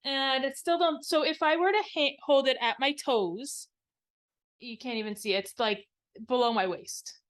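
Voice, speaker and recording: A woman's voice: high-pitched, normal speed. A woman co-hosting a craft podcast, talking casually in a show-and-tell style and rambling as she thinks aloud. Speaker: knitting podcast co-host.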